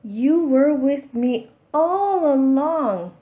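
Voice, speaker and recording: Woman voicing an excitable, high-swooping curious storybook cat. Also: extremely slow, phone-quality sound, heavily acted. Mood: delighted surprise. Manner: wide-eyed, exclamatory.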